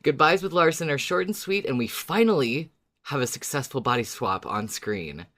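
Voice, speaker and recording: A man with a medium-pitched voice co-hosts a fan podcast. He talks casually, with playful enthusiasm, moving between recap and chat. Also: lively intonation, moderate pace, pushed and bright. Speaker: podcast co-host.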